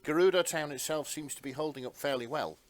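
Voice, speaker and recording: A man's voice: a little high, fast talker, no room sound. A man reading scripted narration for a fantasy fan story in a dramatic storytelling style.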